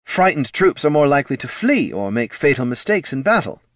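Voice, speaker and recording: A man's voice: mid-range voice, sing-song, fairly quick, fairly bright tone, no echo, clean studio sound, pitched above the performer's own voice. A man voicing an eager undead skull, a chirpy marketing executive in a fantasy audiobook, with upbeat, earnest corporate patter. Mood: eager to please.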